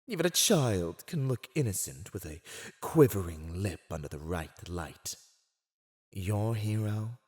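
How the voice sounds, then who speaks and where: Smug fantasy villain for asmr roleplay, slow n teasing, pitch all over the place, talks down to his captive. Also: expressive, darkish tone. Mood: scornful. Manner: theatrical, condescending, teasing. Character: silver-tongued, mocking fantasy villain gloating over a prisoner. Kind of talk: scripted ASMR roleplay monologue.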